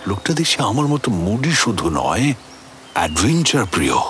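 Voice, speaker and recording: Low-voiced, obsessed middle-aged storyteller. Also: male voice, a bit bright, a little hiss or hum. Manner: theatrical, intense, with suspenseful pauses. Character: obsessed middle-aged family man recounting a haunted pursuit. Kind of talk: dramatic first-person audio story narration.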